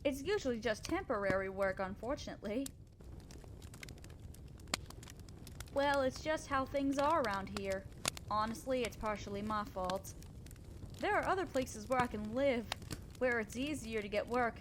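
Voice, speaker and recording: A woman playing a kind, selfless, self-doubting female centaur in a roleplay audio drama, speaking earnestly, gently and hesitantly to the listener. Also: on the high side, normal speed, background noise, natural voice. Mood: resigned.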